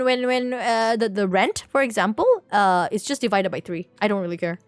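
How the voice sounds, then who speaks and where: A woman's voice: medium pitch, no echo. A woman livestreamer explaining things casually to viewers in a rambling, playful way, repeating words and correcting herself as she goes. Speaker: livestream host.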